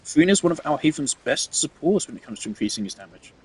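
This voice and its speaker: A man giving casual gameplay commentary, thinking out loud with fillers and the odd swear. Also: fairly high pitch, very animated, fast talker, breathy edge, rough recording. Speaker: gamer testing team setups.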